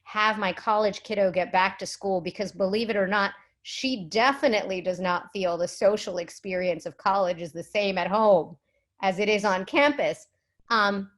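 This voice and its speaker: A woman's voice: medium pitch, a bit bright. A middle-aged woman speaking as a physician at a virtual summit, explaining in an articulate, conversational way with emphasis and rhetorical questions.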